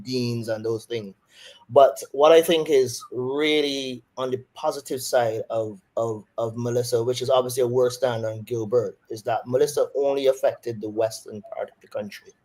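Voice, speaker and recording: Male surgeon, Barbadian accent, mid-pitched, thoughtful interview answers. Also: fairly even intonation, normal speed, darkish tone. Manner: thoughtful, with frequent restarts and fillers.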